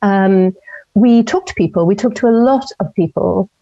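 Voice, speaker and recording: A woman from Portugal in her forties, an opinion columnist answering interview questions in a measured, thoughtful way with frequent ums. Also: mid-range voice, mellow, lo-fi sound. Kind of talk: interview over a call.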